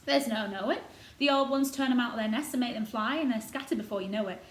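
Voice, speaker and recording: A woman's voice: a little high, fast, pitched above the performer's own voice, natural voice. Storybook role: blunt old Yorkshire gardener, rustic dialect, played by a woman, echoey room. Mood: matter-of-fact. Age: older. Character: gruff old Yorkshire male gardener, blunt, fond of robins. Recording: roomy echo, noticeable background noise.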